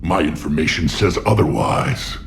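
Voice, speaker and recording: A man voicing a proud, battle-hardened alien clan chief, gruff, blunt and gravelly, in a video game. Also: very deep voice, moderate pace, slight background noise. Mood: accusing. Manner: blunt, combative, growling. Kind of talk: cinematic video game acting.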